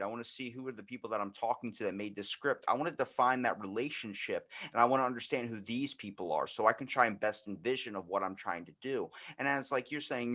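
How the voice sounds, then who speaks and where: A man with a fairly low voice hosts a casual podcast chat. His talk is informal and rambling, full of slang and loose phrasing. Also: fairly bright tone. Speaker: podcast host.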